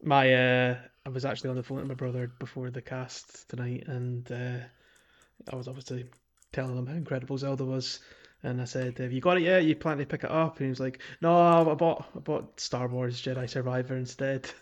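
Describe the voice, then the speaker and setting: A man's voice: mid-range voice, steady tone, a little fast. A Scottish man chatting informally and enthusiastically as a podcast host. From Scotland. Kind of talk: casual podcast chat.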